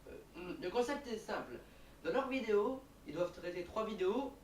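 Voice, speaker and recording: A man's voice: high-pitched, lively intonation, moderate pace. Hesitant boy on a distant, echoey mic. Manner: hesitant, rambling. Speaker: YouTuber in his own old video. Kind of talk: casual vlog talk. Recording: echoey room, distant mic, faint hiss.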